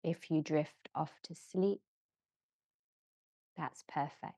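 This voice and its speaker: Female meditation guide, low and mellow, gentle measured delivery in short phrases with long pauses. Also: fairly even intonation, no room sound. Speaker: yoga nidra guide. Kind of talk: slow guided relaxation meditation.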